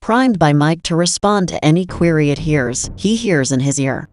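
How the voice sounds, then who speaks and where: A man's voice: on the high side, expressive, moderate pace, dry recording. A man narrating a scripted drama synopsis.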